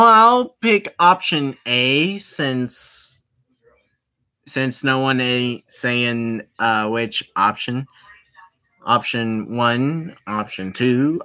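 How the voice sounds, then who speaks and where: A game streamer of unclear sex reading a visual novel aloud, halting and explaining who is speaking or thinking. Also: mid-range voice, bright, forceful tone, smooth. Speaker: gaming streamer. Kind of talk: live gaming commentary, reading visual novel dialogue aloud.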